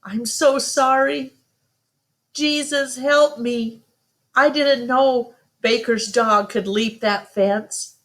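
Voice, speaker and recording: A woman's voice: fairly high pitch, steady tone, slow pace, a bit bright, voice pushed up. A woman storyteller voicing an upset young boy who pleads over his hurt dog. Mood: distraught, sorry.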